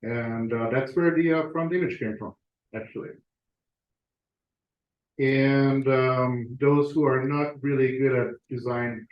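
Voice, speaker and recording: A man giving a casual talk to his club over slides, conversational, with fillers and restarts. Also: medium pitch. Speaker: club presenter. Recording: roomy, dull lo-fi sound.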